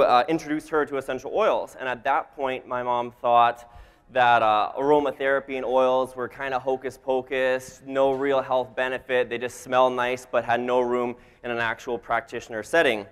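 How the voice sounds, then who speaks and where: A man's voice: mid-range voice, clean tone. A man in his twenties with a Canadian accent, giving an informal talk to a live audience as a guest speaker, with frequent ums. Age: 20s. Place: event hall, on stage. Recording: reverberant large hall sound.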